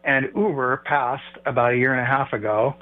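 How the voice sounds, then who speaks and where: A man calling in to a radio show over a tinny, phone-like line, with faint music behind him. He speaks at a middle pitch and tells his stories in a friendly way. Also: steady tone, a little fast. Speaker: guest caller. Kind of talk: casual radio interview.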